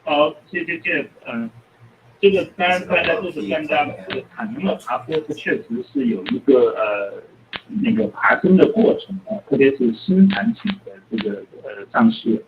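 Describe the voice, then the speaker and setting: A man's voice: a little high, lively intonation, moderate pace. A man, a native Chinese speaker, answering analysts as a company executive in unscripted speech. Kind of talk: spontaneous earnings-call answers. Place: remote conference call. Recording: dull conference-call line with faint noise.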